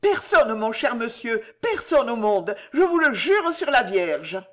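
A woman's voice: a little high, very animated, measured pace, voice pushed up. A woman voicing a flustered, devout old gossip in an audiobook story, voluble and protesting. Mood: pleading protest. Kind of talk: storybook character acting.

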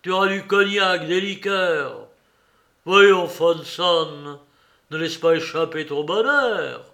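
A man voicing a boisterous, mocking old father who shouts and jeers theatrically. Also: a little high, slow pace, vocal fry, pitched above the performer's own voice, heavily acted.

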